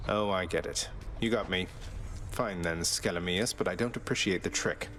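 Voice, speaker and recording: Low-voiced young prince. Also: male voice, moderate pace, fairly bright tone. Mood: mildly annoyed. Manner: polite, understated, sincere. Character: smooth, courteous, wry young god prince. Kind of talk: video game voice acting. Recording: music under the voice.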